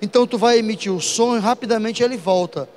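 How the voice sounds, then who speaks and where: A man teaching an exam-prep physics lesson, talking straight to his students with lively asides. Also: high-pitched, fast talker, a little hiss or hum.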